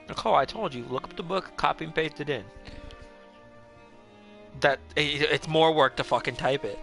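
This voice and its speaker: A man chats loosely while livestreaming a game, rambling, joking at his own expense and swearing offhandedly. His voice is gravelly with a bright, forward edge. Faint game music sits in the background. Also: on the high side, normal speed. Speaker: game streamer. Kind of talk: casual livestream chat.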